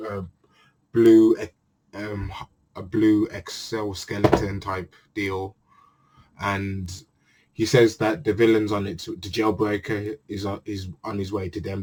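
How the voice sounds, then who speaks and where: Man, lowish voice, casual review monologue, earnest, restarts phrases. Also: poor audio. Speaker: channel host reviewing manga chapters.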